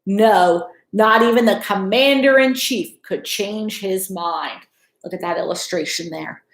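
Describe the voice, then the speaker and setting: A woman in her thirties reading a picture book aloud to children in an expressive, teacherly way. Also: medium pitch, a little slow. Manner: expressive, teacherly, checks in with questions. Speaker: school principal reading aloud to pupils. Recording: echoey room.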